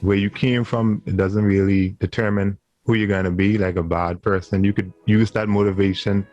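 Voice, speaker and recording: A man's voice: lowish voice, little pitch movement, moderate pace, darkish tone, highs cut off, faint music behind. A man in his twenties with a Bahamian accent, talking earnestly as a guest on a video-call interview. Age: 20s. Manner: earnest, laughs easily. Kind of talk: casual interview chat.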